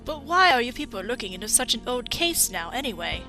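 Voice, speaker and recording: Casting: woman as embittered female inventor, highish forceful voice, biting delivery, game fan dub. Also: sing-song, a little fast, faint music behind, full character voice. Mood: cool, probing. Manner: mocking, brusque, biting. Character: bitter, sharp-tongued grieving female robot engineer.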